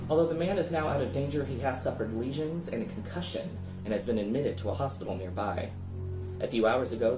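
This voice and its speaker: Man acting a brisk, formal TV news anchor. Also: medium pitch, lightly acted. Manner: formal, measured newsreader delivery. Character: male television news anchor reading a crime report. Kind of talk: scripted TV news bulletin. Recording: roomy echo with music behind.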